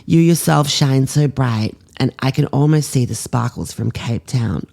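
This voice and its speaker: Casting: a woman voicing a fond old grandfather, low and gravelly, reading an affectionate letter in old-fashioned style. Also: unhurried, dark tone, full character voice. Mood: fond, forgiving. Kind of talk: letter read aloud.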